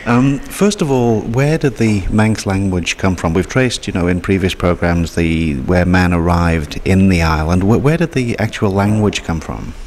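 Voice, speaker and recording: A man from the Isle of Man hosting a 1970s radio interview, chatty and quick to agree. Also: low voice, a little mellow. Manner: chatty, frequent agreeing murmurs. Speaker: radio host. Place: radio studio. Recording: faint hiss on 1970s radio tape.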